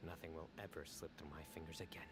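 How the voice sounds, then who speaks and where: An actor playing a stoic, earnest young man in a video game, delivering dry quips in a deadpan manner. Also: very deep voice, quick pace. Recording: game audio with music underneath. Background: game music.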